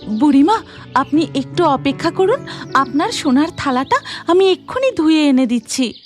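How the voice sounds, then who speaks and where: Cartoon village housewife, acted by a woman: hospitable, respectful, high and lively pitch over soft music. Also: normal speed. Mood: welcoming, eager.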